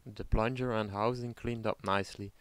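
A man's voice: on the low side, steady tone, normal speed, no room sound. A man giving casual workshop commentary as the host of a repair vlog. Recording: clear background noise, mechanical clicks.

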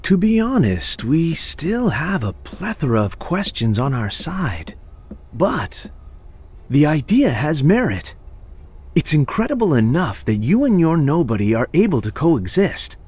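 A man playing a polite, thoughtful character in a video game, husky and explaining things carefully. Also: fairly high pitch, big pitch swings, unhurried, a little mellow, heavily acted.

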